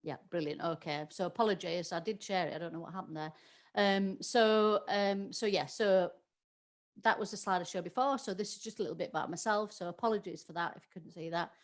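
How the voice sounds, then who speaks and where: A middle-aged woman with a Yorkshire accent leads an online training session. Her voice sits a little low, and her delivery is loose and conversational, full of fillers and self-corrections. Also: fairly quick. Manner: chatty, many ums and restarts. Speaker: webinar trainer. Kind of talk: live online webinar presentation.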